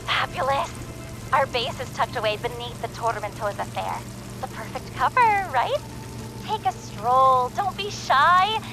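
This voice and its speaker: A woman's voice: sits high, unhurried, over music, low-quality recording. A woman playing a sardonic, playful rebel leader in a video game, with a breezy, teasing, theatrical manner.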